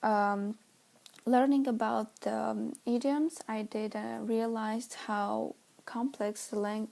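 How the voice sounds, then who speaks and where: A woman with a Romanian accent, a native Romanian speaker, giving a halting class presentation as a student and often searching for words. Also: medium pitch, a little mellow.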